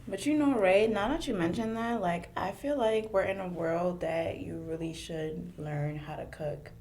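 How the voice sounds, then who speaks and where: A young woman whose first language is French, a podcast guest chatting casually and thinking aloud with pauses. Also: fairly low pitch, a little mellow, a little hiss or hum. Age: young adult. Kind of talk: casual chat.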